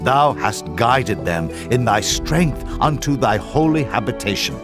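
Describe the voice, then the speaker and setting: A man's voice: medium pitch, animated, measured pace, music in the background. A man voicing an exultant, reverent prophet, declaiming praise in a dramatized scripture recitation. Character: Moses, exultant male prophet praising the Lord.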